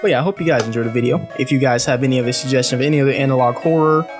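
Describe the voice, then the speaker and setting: A man's voice: mid-range voice, very fast, loud music behind the voice. A man giving casual, slangy reaction commentary, speaking directly to his viewers. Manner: informal slangy talk, addressing viewers directly. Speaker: reaction video host.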